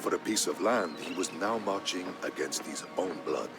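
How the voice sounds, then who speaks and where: Male narrator, very low voice, gravelly, solemn, measured, dramatic history storytelling for a game, music behind. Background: background music.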